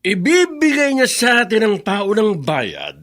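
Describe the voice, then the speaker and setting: An actor playing a shady, impatient, greedy accomplice in a Filipino radio drama, nagging and excitable. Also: male voice, sits high, a little slow, full character voice.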